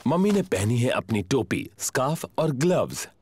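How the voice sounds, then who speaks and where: A man playing the friendly narrator of a preschool cartoon, telling the story in simple, clear storybook sentences. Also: mid-range voice, very animated, normal speed, darkish tone. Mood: gentle telling. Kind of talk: scripted children's cartoon narration. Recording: music behind the voice.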